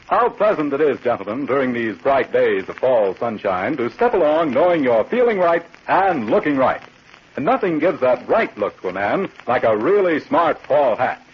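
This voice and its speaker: A man's voice: mid-range voice, husky. A man announcing in 1940s radio style, with a polished, salesmanlike delivery. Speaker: announcer reading the sponsor's advertisement. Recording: muffled 1940s radio recording.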